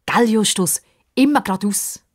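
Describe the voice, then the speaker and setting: Woman playing a plucky young girl, high-pitched. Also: moderate pace, a little hiss or hum, natural voice. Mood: beaming. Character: plucky, cheerful young football-loving girl.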